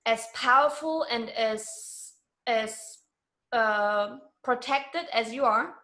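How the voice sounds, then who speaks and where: A woman's voice: fairly high pitch, slow pace, sharp tone. A woman speaking as a guest in a conversational interview, earnest and emphatic in how she talks.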